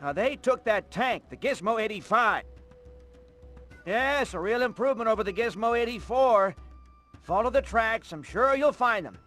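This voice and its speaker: A chipper, chatty eccentric in a video game. The voice is high and very bright, matter-of-fact and eager to help, over faint music. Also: male voice, expressive, moderate pace. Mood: upbeat, helpful. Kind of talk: animated video game voice acting.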